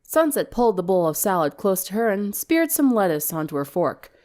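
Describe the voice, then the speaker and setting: A woman narrating a story dramatically in an expressive, theatrical way. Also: mid-range voice, brisk. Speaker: fan fiction reader.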